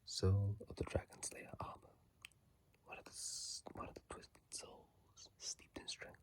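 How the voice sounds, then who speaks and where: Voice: low voice, huge pitch swings, measured pace. An ASMR host whispering casual, rambling game commentary into a phone microphone, often correcting themselves mid-sentence. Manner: soft unvoiced whisper, rambling, with self-corrections. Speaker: ASMR gaming channel host.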